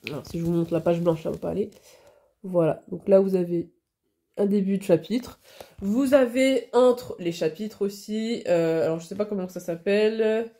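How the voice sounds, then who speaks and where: A woman's voice: mid-range voice, moderate pace, mellow. A woman with a cold and a blocked nose, chatting casually in a hobby vlog, with many hesitations and restarts. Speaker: hobby vlogger. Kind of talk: casual chat.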